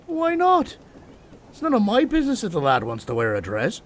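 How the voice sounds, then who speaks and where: Animated, easygoing ship's captain. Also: male voice, high voice, normal speed, slight background noise. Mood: wry. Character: easygoing older male ship's captain, fond and teasing. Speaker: actor playing a ship's captain. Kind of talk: period audio drama acting.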